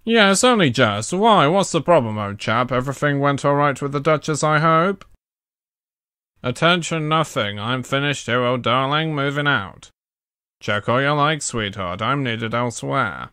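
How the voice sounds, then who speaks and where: A man's voice: medium pitch, lively intonation, unhurried, pushed and bright, clear, resonant tone, no room sound, clean studio sound. A man acting out theatrical cartoon character voices in a showcase of impressions. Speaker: amateur voice impressionist.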